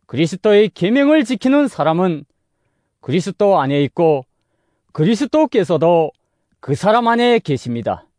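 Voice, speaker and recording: A man reading scripture as a scripted dramatic audio narration, in a measured, declamatory manner. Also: high voice, sing-song, darkish tone, no echo, studio quality. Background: music.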